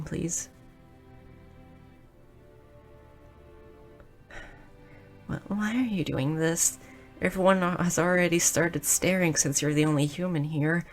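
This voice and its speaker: A woman's voice: on the low side, close to an everyday voice. A woman playing a shy, clumsy, sweet young goblin girl who stammers earnestly in an intimate romantic audio roleplay. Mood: flustered. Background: music.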